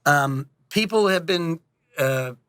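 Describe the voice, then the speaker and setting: Bright-voiced man chatting, dry close sound. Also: a little high, slow pace, clean tone, no room sound. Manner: animated, rambling, jokey, self-interrupting, acts out quotes; casually profane. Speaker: interview guest, audio drama director and producer. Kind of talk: casual conversational interview.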